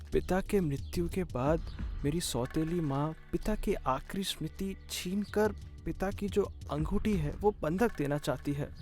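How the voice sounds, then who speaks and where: Man voicing an earnest, high-voiced young village man. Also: darkish tone, close to an everyday voice. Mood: earnest, puzzled. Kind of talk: Hindi cartoon moral-story voice acting.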